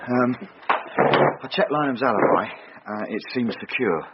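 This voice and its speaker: An actor playing a dutiful, hesitant junior police detective with a matter-of-fact manner in a radio drama. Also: male voice, mid-range voice, animated, normal speed, natural voice. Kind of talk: naturalistic radio drama acting. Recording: muffled radio recording with noise.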